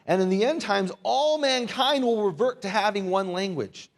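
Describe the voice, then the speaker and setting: A man's voice: high-pitched, expressive, normal speed. A man who is a native English speaker, giving an informal Bible lesson to a live audience in a conversational, wryly humorous manner with rhetorical questions. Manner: conversational, wry humour, rhetorical questions, asks listeners to repeat words. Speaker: Bible teacher and lecturer.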